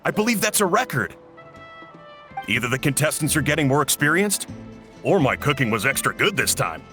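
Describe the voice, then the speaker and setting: Genial uncle-type male cook for a game: somewhat high, very animated, slightly dramatic. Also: fairly quick, soft background music, full character voice. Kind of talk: English video game voice acting.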